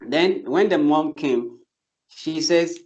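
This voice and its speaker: A man's voice: fairly high pitch, slow, a little mellow. A man who teaches English, explaining his tips step by step in casual talk, often restarting his sentences. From not from Turkey. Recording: slightly dull lo-fi sound.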